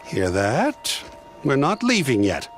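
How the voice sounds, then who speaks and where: An actor playing a stubborn, fussy sun priest in a video game, haughty and formal. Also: male voice, medium pitch, huge pitch swings, takes it slowly, soft background music. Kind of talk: video game dialogue acting.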